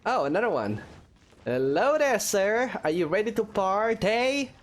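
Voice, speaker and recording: A man's voice: sits high, very animated, measured pace. A man giving casual, rambling, jokey let's play commentary as a gaming host. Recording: music under the voice. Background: game music.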